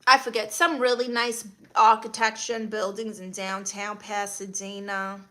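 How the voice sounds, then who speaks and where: A woman's voice: mid-range voice, flat delivery, moderate pace, sharp tone. A woman with a Brooklyn accent hosting a casual livestream, greeting viewers and answering comments in a playfully sassy, blunt way. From Brooklyn, New York, USA. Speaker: livestream host.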